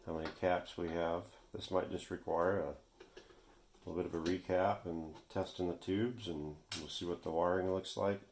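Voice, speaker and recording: A man with a low, gravelly voice gives a casual hands-on demonstration. He talks off the cuff, half to himself, as he works. The room is echoey, with faint noise behind him. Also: fairly even intonation, moderate pace, a little mellow. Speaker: vintage radio repair video host. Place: indoor workshop.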